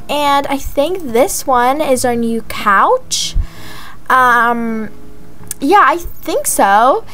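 A young woman voicing a chatty, excitable young mother in a gaming roleplay vlog, gushing and drawing out words for emphasis. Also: high-pitched, slight background noise, exaggerated voice. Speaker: video creator voicing her game character. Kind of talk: voiced life-simulation roleplay vlog narration. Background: background music.

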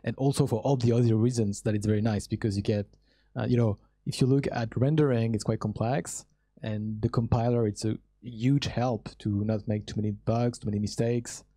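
A man's voice: medium pitch, fairly even intonation, brisk, warm tone. A young man speaking into a handheld mic as a panelist in a large hall, giving an earnest technical explanation with frequent fillers. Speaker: panelist, startup co-founder. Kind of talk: conference panel answer.

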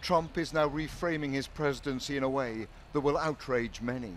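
Grave news correspondent. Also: male voice, mid-range voice, steady tone. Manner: measured, grave delivery. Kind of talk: news report voice-over. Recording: loud crowd and street noise behind.

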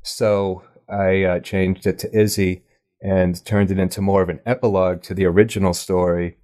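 A man's voice: low voice, little pitch movement, normal speed, warm tone. A man chatting casually as a podcast guest, with a hesitant delivery that often restarts and corrects itself. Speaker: podcast guest, audio drama writer and producer. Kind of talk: casual interview chat.

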